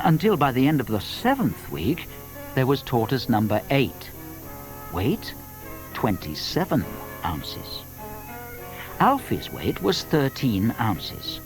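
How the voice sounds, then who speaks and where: A British man narrating a children's audio drama as a wry, measured storyteller. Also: medium pitch.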